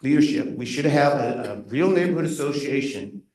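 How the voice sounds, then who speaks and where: Loud, insistent man in an echoey hall. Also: medium pitch, expressive, brisk. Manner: loud, insistent, critical, with frequent restarts. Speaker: resident giving public comment. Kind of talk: impassioned public comment at a neighborhood meeting. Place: echoey community meeting room. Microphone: room mic at a distance, not speaking into a handheld. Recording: very echoey room, voice far from the mic.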